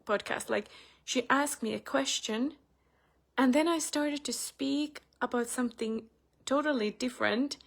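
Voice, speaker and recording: A young woman with a Finnish accent, chatting casually in a personal vlog with many fillers, getting animated when she retells a story. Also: high-pitched, unhurried, creaky. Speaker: spiritual channel host.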